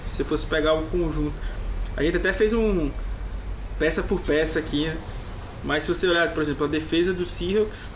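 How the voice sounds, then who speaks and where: Brazilian man, higher voice, noisy line. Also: a little fast. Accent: Brazilian Portuguese. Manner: hesitant, many restarts and fillers. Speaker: podcast co-host. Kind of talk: casual podcast chat.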